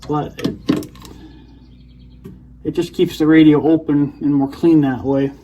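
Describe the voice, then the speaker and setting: Rambling man with a slightly high voice. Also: level tone, measured pace, background noise. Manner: rambling, thinking aloud. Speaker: video blogger. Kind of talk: casual hands-on gadget demonstration.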